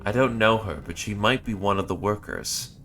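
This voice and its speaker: Weary wounded android giving a blunt warning, read plainly by a man; low voice, lively swings. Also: moderate pace, gravelly, faint music behind. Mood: grim warning.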